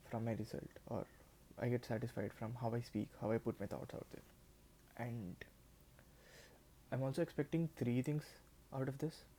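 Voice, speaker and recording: A man's voice: medium pitch, mellow, rough recording. A man in his twenties whose first language is Telugu, talking unscripted to a camera in a hesitant way, with many restarts and repeated words. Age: 20s. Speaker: vlogger.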